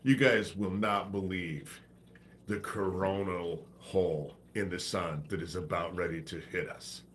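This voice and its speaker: A man's voice: sits low, steady tone, measured pace, a little hiss or hum. A man hosting a live stream while sick with a congested head cold, talking in a loose, rambling, profane way. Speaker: livestream host. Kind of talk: rambling casual livestream talk.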